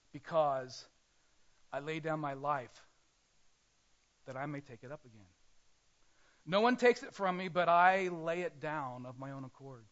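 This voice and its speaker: A male pastor preaching a sermon in a conversational way, often pausing and correcting himself. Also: on the high side, a bit bright, muffled recording.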